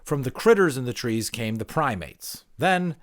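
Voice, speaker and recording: A man playing an educated, unsure male pupil in an audiobook, halting as he thinks aloud and gropes for words. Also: mid-range voice, expressive, a bit bright, natural voice. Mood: uncertain, groping. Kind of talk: dramatic audiobook character acting.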